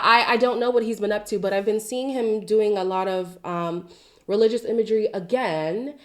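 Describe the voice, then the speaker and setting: Woman, from Zimbabwe, sick voice, middle pitch, casual commentary host, chatty, expressive, side tangents, solo desk mic. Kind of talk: casual pop-culture commentary.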